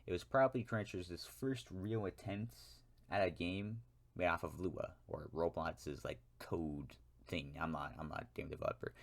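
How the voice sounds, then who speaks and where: A young American man rants alone to camera in a rambling way, often correcting himself and adding wry asides. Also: low-pitched. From United States. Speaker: YouTuber. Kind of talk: ranting solo monologue.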